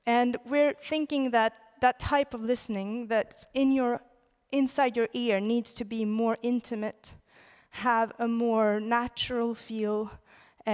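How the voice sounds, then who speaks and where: A woman with a Swedish accent presenting as a conference speaker, in a halting way, searching for words. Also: a little high, clear, resonant tone. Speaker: conference speaker, audio drama producer. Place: large indoor conference venue. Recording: reverberant conference hall.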